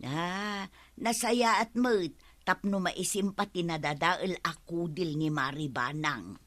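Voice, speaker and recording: A woman's voice: on the low side, slow pace, a bit bright. An actress with a Filipino accent playing a gossipy, chiding village woman in a radio comedy drama. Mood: gossiping.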